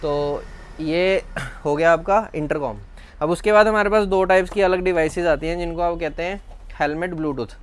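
An Indian man in his thirties presents a product explainer vlog. His voice sits slightly high for a man, with a very clean, resonant tone. He talks informally and explains as he goes, as a shop seller would to a customer. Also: moderate pace. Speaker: vlog host and bike accessories seller. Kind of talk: product explainer vlog to camera, Hindi-English mix. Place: small indoor accessories shop.

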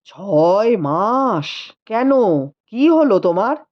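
A woman's voice: medium pitch, very animated, extremely slow, dull audio, voice pushed down. A woman voicing a learned, caring husband with a formal, questioning manner in a storybook reading. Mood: puzzled, questioning. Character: learned physician husband, adult man, caring, puzzled.

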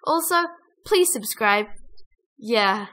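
A woman's voice: fairly high pitch, animated, slow pace, bright, forceful tone. A woman giving scripted storybook-style narration of a cartoon adventure. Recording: music behind the voice.

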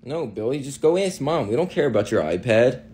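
A performer voicing a laid-back older brother in a comedy skit, casual and teasing. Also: female voice, rumbling low, animated, a little fast, dark tone. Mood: dismissive.

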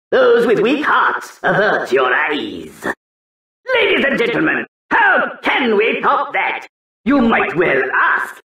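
A man's voice: extremely high pitch, pushed and bright, full character voice. A man voicing a showy, theatrical circus ringmaster announcing acts in a video game. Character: showy flea-circus ringmaster, breathless showman. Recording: echoing, far from the mic.

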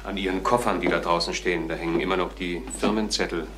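An actor playing a polite, inquiring police detective in a crime radio play, questioning courteously. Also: male voice, sits low, steady tone, fast talker, natural voice. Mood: puzzled, inquiring. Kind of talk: German crime radio drama acting. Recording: noisy old radio drama recording.

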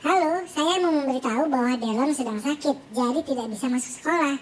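A man voicing a little child-like cartoon character through a high-pitched voice changer, for a comic story. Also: fast talker, slight background noise. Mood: matter-of-fact.

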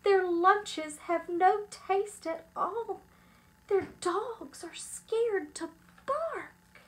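A woman voicing an excitable, outspoken schoolchild from a storybook with melodramatic exclamations. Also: very high-pitched, very slow, pitched above the performer's own voice, exaggerated voice. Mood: horrified.

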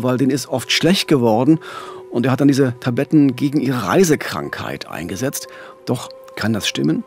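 A man's voice: mid-range voice, creaky, a little mellow. A man co-hosting a true-crime podcast, telling the story at a close studio microphone. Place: small radio studio.

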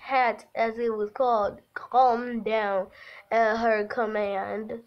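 A woman's voice: on the high side, level tone, slow, lo-fi sound. A giggly woman hosting a casual game livestream, chatting and reading aloud from a visual novel. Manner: giggly, breaks off into laughter. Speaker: livestream host.

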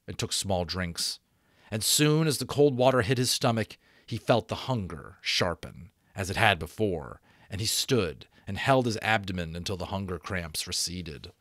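A man in his thirties narrates a novel in a fairly low voice. His delivery is expressive and dramatic, recorded close to the microphone with very little room sound. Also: no echo. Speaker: audiobook reader, voice actor. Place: small home room, indoors. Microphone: close desk microphone.

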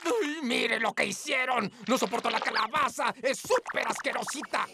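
A woman's voice: on the high side, moderate pace, very noisy, lightly acted. A woman voicing a mischievous male wolf professor in a Latin American Spanish children's cartoon dub, taunting and theatrical. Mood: disgusted. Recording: loud children's cartoon music behind.

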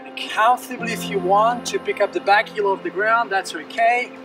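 High-voiced coach heard from afar outdoors. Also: male voice, normal speed. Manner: calm, encouraging, repeats words often. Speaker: fitness coach. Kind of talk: guided stretching instruction. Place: outdoors on a windy seaside headland. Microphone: far camera. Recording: distant outdoor audio with music.

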